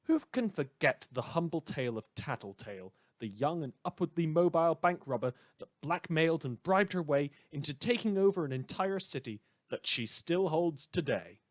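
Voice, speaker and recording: A man's voice: fairly high pitch, expressive, normal speed, hardly any pauses, no echo, lightly acted. A man playing a sardonic radio host in a superhero world, delivering scripted satirical news with dramatic, sarcastic emphasis. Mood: dismissive.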